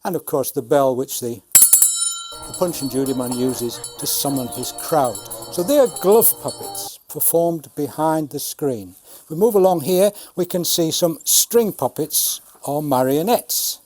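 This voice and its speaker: A man's voice: a little high, lively intonation, measured pace, darkish tone. A man in his seventies, a retired puppeteer, giving an explanatory talk about his craft. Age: 70s. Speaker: retired Punch and Judy puppeteer.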